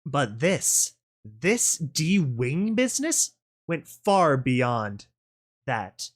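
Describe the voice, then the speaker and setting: A man reading a first-person story aloud as a dramatic narrator, brooding and deliberate. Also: a little high, big pitch swings.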